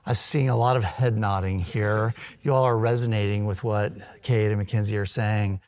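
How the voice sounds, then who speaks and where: A man's voice: lowish voice, steady tone, moderate pace. Upbeat middle-aged man hosting. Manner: upbeat, encouraging interviewer. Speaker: university president hosting the show. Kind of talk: hosted interview.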